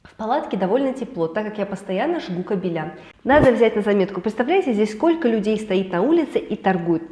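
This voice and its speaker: A woman hosting a weekly celebrity and travel news roundup, hoarse from a cold, lively and chatty with personal asides. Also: mid-range voice, fairly quick, reverberant. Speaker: news show host.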